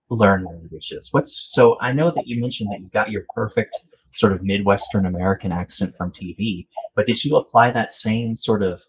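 A man hosts a casual podcast chat, hesitating often and filling pauses. His voice sits low and is a little husky. The sound is muffled and lo-fi. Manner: hesitant, many fillers. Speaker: podcast host. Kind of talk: casual interview. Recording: dull lo-fi call audio.

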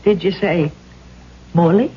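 A woman's voice: fairly low pitch, slow pace, husky, exaggerated voice. A woman playing a stern, very old landlady in a 1970s radio drama, formal and admonishing. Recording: dull, noisy vintage radio recording.

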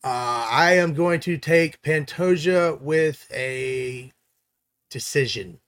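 A man's voice: a little high, slow pace, a bit bright. A man co-hosting a casual sports chat, enthusiastic and hedging as he corrects himself. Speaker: co-host picking fights.

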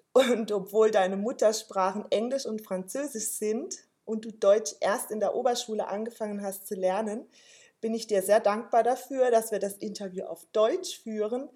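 Woman, host, formal scripted delivery, medium pitch. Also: dark tone. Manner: formal, carefully read. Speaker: podcast host. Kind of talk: scripted introduction and interview.

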